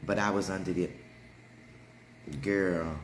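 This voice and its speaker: A man hosting a live stream, telling everyday stories in a casual, animated way with frequent laughter. He has a deep, heavy voice. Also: slow, roomy sound, a little hiss or hum. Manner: animated, laughs often, talks straight to viewers. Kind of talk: casual storytelling chat.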